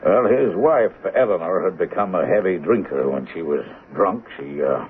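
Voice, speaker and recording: An actor in a 1970s radio drama playing a regretful man who speaks in a hesitant, halting way. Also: low-pitched, lively intonation. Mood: regretful, uneasy. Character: regretful, hesitant man giving testimony to police. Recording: tinny narrow-band 1970s radio recording, noisy.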